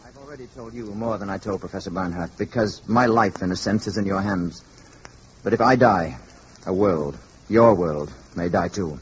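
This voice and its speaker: An actor playing a grave, courteous spaceman in human form in a 1950s radio drama, speaking in measured, formal, precise phrases. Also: low-pitched, close to an everyday voice. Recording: muffled, hissy old radio recording.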